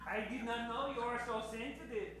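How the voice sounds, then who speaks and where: A man's voice: extremely high pitch, fairly even intonation, brisk, over music. A man playing a smug, taunting bandit with a drawling, put-on in improvised radio comedy. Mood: smug teasing. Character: Mexican bandit Pedro, smug, taunting, cigar-smoking. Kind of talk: improvised old-time radio comedy acting. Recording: echoey stage hall.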